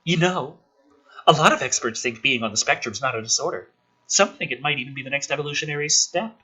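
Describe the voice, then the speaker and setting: A man voicing a thoughtful, gently frank woman in a film script read-through, cautious and soft-spoken. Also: medium pitch, fast talker, husky. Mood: gentle, cautious.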